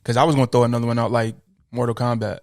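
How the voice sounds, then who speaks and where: Fast-talking American man in casual chat. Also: mid-range voice, little pitch movement, darkish tone, no room sound, studio quality. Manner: slangy, opinionated. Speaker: podcast co-host. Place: podcast recording studio.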